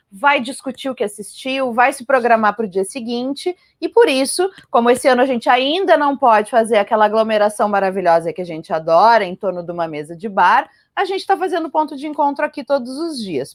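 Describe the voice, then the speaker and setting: A woman presents a program conversationally. Her voice sits fairly high, and the sound is close and dry. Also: dry recording. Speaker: program host, journalist. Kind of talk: presenting a live online festival program, conversational.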